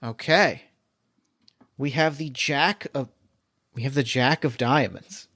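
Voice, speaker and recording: A man running a solo tabletop game as game master, excitable and quick to laugh. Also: mid-range voice, dry recording, clean studio sound. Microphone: remote call mic.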